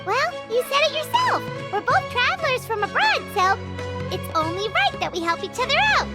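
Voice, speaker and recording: A woman voicing a tiny, chatty floating girl companion with a high, squeaky, childlike voice. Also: very animated, normal speed, loud music behind the voice. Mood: chirpy. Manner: bubbly, exclamatory. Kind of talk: game voice acting.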